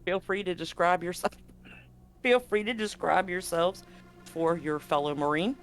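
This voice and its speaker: A man's voice: high-pitched, unhurried, faint music behind. A man narrating a live-streamed tabletop roleplaying game as the game master.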